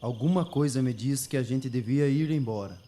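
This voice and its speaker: A man's voice: mid-range voice, moderate pace, dark tone, natural voice. A man playing an uneasy, hesitant young man who is reluctant to go on, in an amateur radio drama. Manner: hesitant, anxious.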